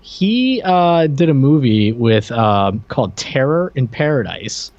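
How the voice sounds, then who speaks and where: A man co-hosting a podcast, talking casually in a hesitant, rambling and halting way. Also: medium pitch, sing-song, a little mellow, muffled recording. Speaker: podcast co-host. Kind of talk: casual chat about cartoons and cast lists.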